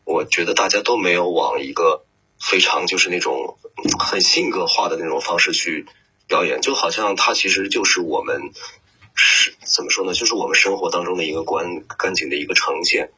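Very deep, bright, rough-voiced man. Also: a little fast, low-quality recording. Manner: hesitant, searching for words. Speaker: voice actor, guest. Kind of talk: reflective interview answers.

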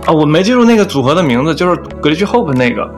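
Higher-voiced man giving blunt opinions. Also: big pitch swings, fast talker, music in the background. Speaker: podcast co-host. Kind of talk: casual chat.

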